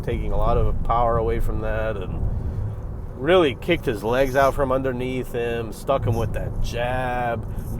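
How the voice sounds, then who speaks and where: A man hosting a reaction video, giving casual, profane commentary on a fight, jumping from loud bursts to low mutters. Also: noisy background.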